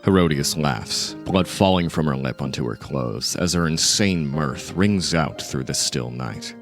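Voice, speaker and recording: A man narrating a dark audio drama in a measured, literary storytelling manner. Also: rumbling low, expressive, faint music behind. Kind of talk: scripted narration of dark fiction.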